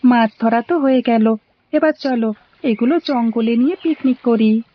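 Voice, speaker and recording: A woman's voice: a little high, normal speed, lightly acted. A woman voicing a cheerful, helpful little schoolboy in a cartoon, with a Bangladeshi accent. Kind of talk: animated cartoon dialogue. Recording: dull lo-fi audio.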